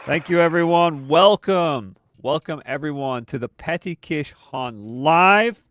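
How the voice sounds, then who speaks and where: A man's voice: fairly high pitch, expressive, slow, a bit bright, some chatter behind. A man hosting a weekly live stream with casual, rambling commentary full of fillers. He sounds congested from a cold he is still getting over. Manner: rambling with many fillers and restarts.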